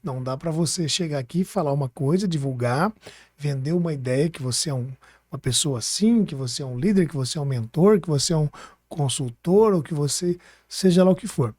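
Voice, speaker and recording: Casting: Brazilian male interviewer, soft warm timbre, chatty and colloquial, recorded dry and close. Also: on the high side, a little fast, dry recording. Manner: colloquial, seeks agreement with tag questions. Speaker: podcast host. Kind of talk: casual chat, interviewing.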